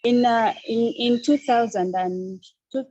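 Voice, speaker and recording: A young woman from Uganda with a Ugandan accent, a student speaking on a panel, hesitant with many pauses and restarts. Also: fairly high pitch, dark tone, no echo, highs cut off. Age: young adult. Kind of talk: online panel discussion.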